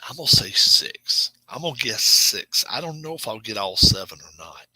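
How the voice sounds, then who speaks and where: A middle-aged man hosting a casual live stream, chatting with viewers in a rambling, self-correcting way and sometimes dropping to a low murmur. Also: big pitch swings, normal speed, sharp tone, breathy edge, dry recording, low-quality recording. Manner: drops to a low murmur at times. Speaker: channel host.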